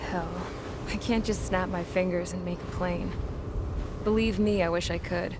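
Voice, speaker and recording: An actress playing a gritty young aircraft mechanic in a video game, talking in a plainspoken, wry, folksy way. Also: female voice, on the low side, steady tone, normal speed, noisy background, natural voice. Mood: wry, reflective. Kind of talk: dramatic video game narration.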